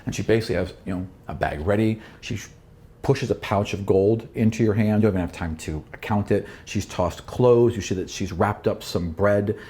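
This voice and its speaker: A man's voice: on the low side, normal speed, mellow. A man working as dungeon master, narrating a tabletop game with dramatic, explanatory storytelling.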